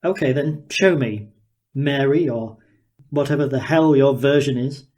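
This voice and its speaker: A man voicing an excitable, sneering, slightly unhinged inventor in audiobook dialogue, taunting and full of questions. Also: mid-range voice, unhurried, natural voice. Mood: taunting, eager.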